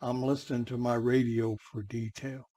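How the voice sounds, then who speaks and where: A man giving a measured spoken film review as an audience member, pausing deliberately between items. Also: mid-range voice, flat delivery, dark tone, slight background noise.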